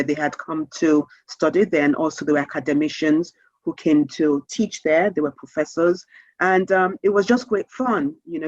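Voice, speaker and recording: A woman's voice: low voice, a little mellow, no echo, muffled recording. A woman from Nigeria, answering interview questions conversationally as an author.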